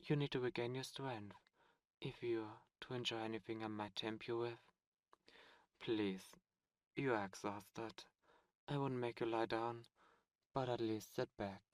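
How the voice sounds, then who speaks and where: A man voicing a seductive ancient demon prince, a fallen angel, speaking softly and slowly in an ASMR roleplay. He coaxes and tempts, close to the microphone. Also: mid-range voice, fairly even intonation, no echo, natural voice. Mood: tempting. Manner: soft, slow, coaxing and tempting.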